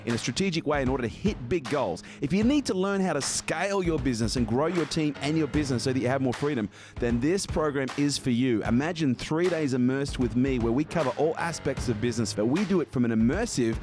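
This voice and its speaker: A man reading an advertisement in a rapid, persuasive, list-like delivery. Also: medium pitch, animated. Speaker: sponsor ad reader. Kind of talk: read advertisement. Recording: music bed behind voice.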